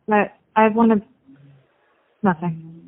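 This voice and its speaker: A woman in a casual private phone call, talking in a worried, halting, questioning way. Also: mid-range voice. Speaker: caller talking with a jail inmate. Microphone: telephone. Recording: tinny, band-limited phone line.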